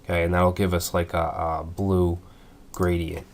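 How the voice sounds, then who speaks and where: Slow, deep-voiced man walking through code. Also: little pitch movement. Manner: methodical, thinking aloud with fillers. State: stuffed up from a cold. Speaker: coding tutorial instructor. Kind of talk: screencast coding tutorial.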